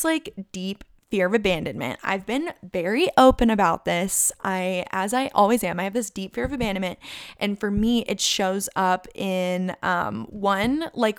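A woman's voice: medium pitch, clear, resonant tone, no room sound, clean studio sound. A woman in her twenties hosting a casual solo podcast, chatty and candid, leaning on "like" and "literally" and laughing often. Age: 20s. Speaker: podcast host.

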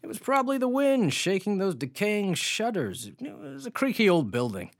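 A man's voice: high-pitched, very animated, normal speed, vocal fry, no room sound, studio quality, full character voice. An actor playing a breezy, skeptical young man in a radio drama, casual and flippant with a teasing edge. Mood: dismissive, teasing.

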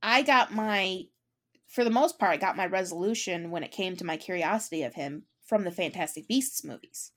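A woman co-hosting a podcast in casual chat, talking with eager enthusiasm and frequent quick restarts. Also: lowish voice. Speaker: podcast co-host.

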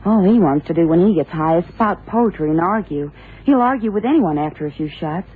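A worried loyal secretary, very husky n lowish, pleading, old tinny radio drama. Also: female voice, lively intonation, brisk. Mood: worried, defensive. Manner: earnest, hesitant, pleading. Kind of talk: 1960s-70s radio drama acting.

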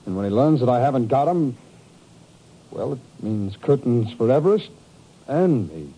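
An actor playing a bitter, fearful, disillusioned turncoat agent with an agitated, self-mocking manner in 1950s radio drama style. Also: male voice, mid-range voice, big pitch swings, moderate pace, mellow, husky, rough recording. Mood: warning. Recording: old radio recording, faint noise.

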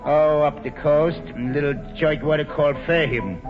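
A man's voice: fairly high pitch, moderate pace, natural voice. Man playing a cocky American bootlegger boss, echoing old radio. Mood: cocky, breezy. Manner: breezy, pushy, wheedling talk in gangster slang. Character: slangy, cocky male bootlegger gang boss. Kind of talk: 1940s radio acting. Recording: dull vintage radio broadcast, reverberant, faint music.

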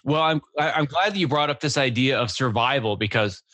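A male podcast host leans into his questions with eager energy, tripping over his starts and circling back. The sound is dry and close, with no room around him. His pitch sits in an ordinary middle range. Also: a little fast, sharp tone, no room sound. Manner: hesitant, restarts often, enthusiastic. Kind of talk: casual interview conversation.

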